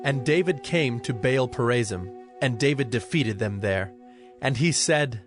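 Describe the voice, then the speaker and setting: A man narrating scripture from a script in a formal, measured storytelling manner. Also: mid-range voice, lively intonation, over music.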